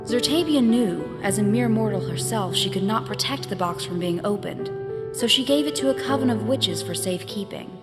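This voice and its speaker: A woman narrating a scripted fantasy story in a solemn, deliberate, dramatic way. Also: medium pitch, lively intonation. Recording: reverberant hall, music behind.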